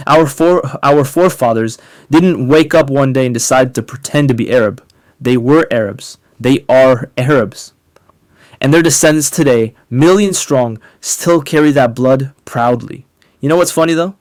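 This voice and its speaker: A man delivering a polemical monologue as an online commentator, speaking emphatically and rhetorically with deliberate pauses on key words. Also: medium pitch, a little mellow, no room sound. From North Africa. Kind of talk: polemical monologue to camera, partly scripted.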